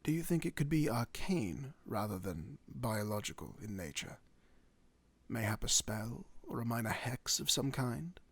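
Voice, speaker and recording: A man playing a courteous werewolf doctor in a fantasy ASMR roleplay, speaking formally and reassuringly with old-fashioned wording and a husky voice. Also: mid-range voice, animated, unhurried, fairly bright tone, exaggerated voice. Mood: inquisitive, calm.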